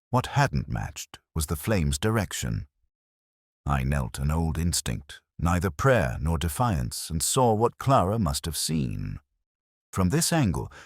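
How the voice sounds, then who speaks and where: A man reading a first-person detective story in a measured, reflective way. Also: very deep voice, no echo, clean studio sound. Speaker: narrator.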